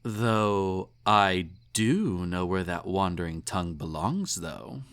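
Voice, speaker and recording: Slow-talking, snobbish, possessive emperor, acted by a man. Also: low voice, a bit bright, exaggerated voice. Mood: tipsy, teasing. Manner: pompous, condescending, teasing, ornate formal phrasing. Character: snobbish, possessive male emperor, indulgent and slightly tipsy. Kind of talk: intimate roleplay audio drama, second-person monologue.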